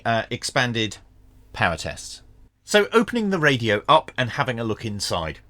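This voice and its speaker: A man talks through a hands-on product review. His voice sits on the low side and is recorded dry, with no room sound around it. He explains things in a measured, factual way. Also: bright, forceful tone, no echo. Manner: measured, matter-of-fact explaining. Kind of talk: hands-on tech review commentary.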